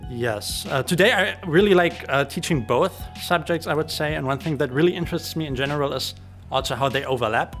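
A male teacher, a native German speaker, answering interview questions in English in a measured, hesitant way. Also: on the high side, fairly bright tone, faint music behind. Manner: measured, hesitant with many fillers.

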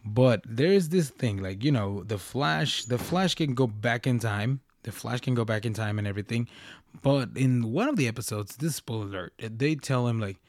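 A man's voice: mid-range voice, moderate pace, no room sound. Casual young man rambling on a podcast. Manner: rambling, frequent restarts and slang. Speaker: podcast host. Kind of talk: casual solo podcast talk.

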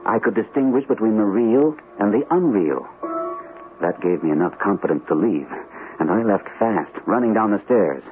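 An actor playing an anxious, hesitant army captain recovering from shell shock in an old-time radio drama. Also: male voice, lowish voice, animated, soft background music, natural voice. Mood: troubled narration. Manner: hesitant, halting, nervous. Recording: muffled, narrow-band, noisy old radio recording.

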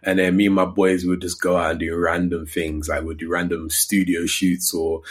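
A man's voice: sits low, flat delivery, moderate pace. A man in his twenties with an English accent, chatting informally and with slang as a guest in a casual interview. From Coventry, England. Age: 20s. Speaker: podcast guest, digital creator.

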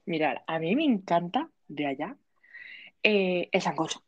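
A woman's voice: lowish voice, big pitch swings, lo-fi sound. A woman with a Spanish accent, a podcast guest chatting casually and giggling often. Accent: Spanish from Spain. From Spain. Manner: chatty, drawn-out sighs, giggly.

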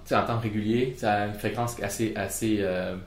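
A man with a Quebec French accent hosting a podcast, asking questions in a halting way and repeating words. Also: on the low side, fairly even intonation. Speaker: podcast host. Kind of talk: casual podcast conversation. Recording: echoey room.

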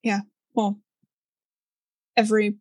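A woman's voice: medium pitch, flat delivery, slow, a little mellow, no room sound. Young woman, searching for words. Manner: searching for words, hesitant with many fillers. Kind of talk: casual chat.

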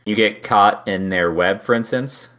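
Deep-voiced man in rambling casual chat. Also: measured pace, sharp tone. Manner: rambling, self-interrupting, joking.

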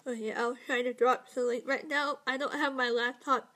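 A woman's voice: high-pitched, fairly even intonation, normal speed, sharp tone. Hesitant woman hosting a podcast. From California, USA. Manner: hesitant, with fillers and giggles. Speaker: podcast host. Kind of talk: casual chat.

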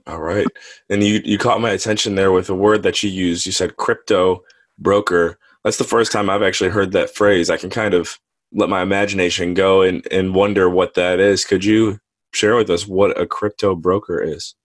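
A man's voice: sits low, steady tone, normal speed, no room sound. A man hosting a podcast, asking friendly, curious interview questions. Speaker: podcast host.

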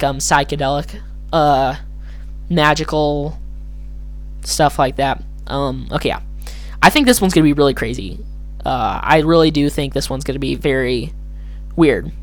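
A podcast host with a slightly hoarse voice, talking casually and alone in a rambling, hesitant way that keeps correcting itself. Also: medium pitch, normal speed. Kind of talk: casual solo commentary.

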